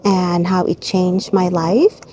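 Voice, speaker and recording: A woman's voice: on the low side, little pitch movement, slow pace, mellow, slight background noise. A woman in her forties from Bangkok with a Thai accent, talking candidly and reflectively to camera about her own life. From Thailand, Bangkok. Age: 40s. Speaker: vlogger. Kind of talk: personal reflective talk to camera.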